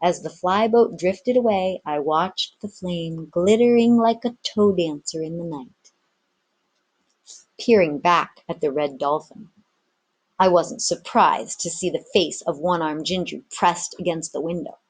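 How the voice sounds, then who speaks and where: A woman's voice: on the low side, a little slow, low-quality recording. A woman narrating a storybook as a teacher reading aloud.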